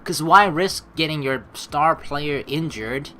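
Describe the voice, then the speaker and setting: A man's voice: fairly high pitch, slow, bright, forceful tone, a little hiss or hum. A man doing casual, rambling, emphatic gaming commentary, swearing now and then, with game music behind him. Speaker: let's play streamer. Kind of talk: gaming commentary, reading game text aloud. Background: game background music.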